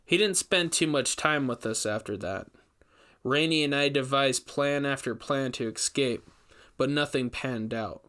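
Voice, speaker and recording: A man's voice: mid-range voice, measured pace, fairly bright tone. A man reading scripted first-person horror fiction as a storyteller.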